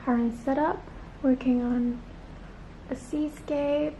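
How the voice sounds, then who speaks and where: A woman's voice: high voice, steady tone, slow, dark tone. A young woman chatting casually to camera in a day-in-the-life vlog, with lots of fillers and an upbeat tone. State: croaky from just waking up. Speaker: vlogger. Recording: echoey room with clear background noise.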